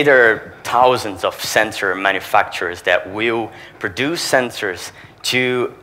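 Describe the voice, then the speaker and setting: Low-voiced man, Portuguese accent. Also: pushed and bright. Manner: explanatory, poses rhetorical questions to the audience. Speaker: regional sales manager. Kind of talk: conference presentation to an audience.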